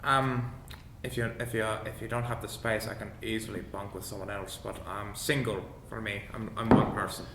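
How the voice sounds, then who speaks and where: A man's voice: steady tone, moderate pace, fairly bright tone. A man playing a tabletop role-playing game, talking casually in a hesitant, polite way. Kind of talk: casual in-character and descriptive game play. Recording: echoey room with faint rumbling noise.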